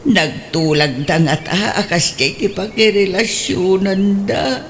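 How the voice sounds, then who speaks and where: A woman's voice: medium pitch, takes it slowly, a little hiss or hum. A woman acting a scolding middle-aged mother in a radio drama, speaking with an Ilocano accent and breaking into exclamations. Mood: complaining.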